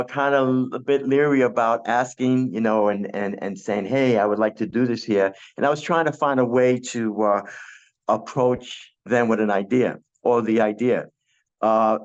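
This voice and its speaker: A man's voice: lowish voice, highs cut off. A man in his sixties, born in Puerto Rico and raised in Brooklyn, talking casually in an interview with many fillers and restarts. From born in Puerto Rico, raised in Brooklyn, New York. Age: 60s. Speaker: guest DJ.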